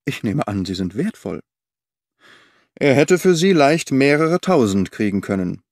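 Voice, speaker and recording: A man reading the part of a sharp-witted detective reasoning aloud in an audiobook. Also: medium pitch, sing-song, unhurried, no room sound, clean studio sound.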